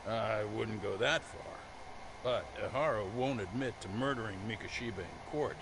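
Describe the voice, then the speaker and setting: Seasoned lawyer, skeptical, measured, lowish pitch, crime drama game, noisy ambience. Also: male voice, creaky. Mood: skeptical, probing. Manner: measured, questioning. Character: skeptical, seasoned male senior lawyer, cautious and probing. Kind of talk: dramatic English game voice acting.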